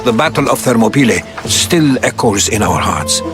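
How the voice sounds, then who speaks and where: An actor playing a reverent Greek historian in a video game, telling of the fallen in a solemn, poetic manner. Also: male voice, medium pitch, animated, normal speed, music in the background, close to an everyday voice. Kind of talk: video game dramatic storytelling.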